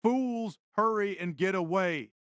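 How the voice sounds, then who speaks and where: A man's voice: sits high, a little slow, fairly bright tone, clear, resonant tone, dry recording, clean studio sound. A man acting a stern, terse male warrior in an audio drama, speaking curtly and matter-of-factly. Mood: sharp, urgent. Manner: curt, unvarying, matter-of-fact tone.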